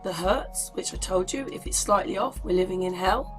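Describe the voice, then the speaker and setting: A woman's voice: mid-range voice, moderate pace. Woman giving casual commentary over faint music. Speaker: presenter. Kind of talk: casual running commentary on a web page.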